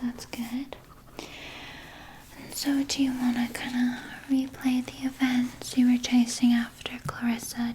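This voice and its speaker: A woman plays a doting yet sinister female vampire nurse in a whispered ASMR roleplay. Her breathy whisper is unhurried and stays very even, soothing and coy, with murmured asides. A faint noise sits behind the voice. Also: on the high side, close to an everyday voice, rough recording. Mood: gently questioning. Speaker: ASMR performer.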